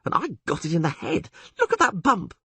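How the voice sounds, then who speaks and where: A man's voice: high-pitched, huge pitch swings, fairly quick, creaky, sharp tone, slightly rough, no echo, voice pushed up, exaggerated voice. A man voicing a vain, flippant poet in an audiobook, mocking and theatrically aggrieved. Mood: aggrieved, whining. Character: flippant, whining male poet, vain and complaining. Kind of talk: audiobook character acting.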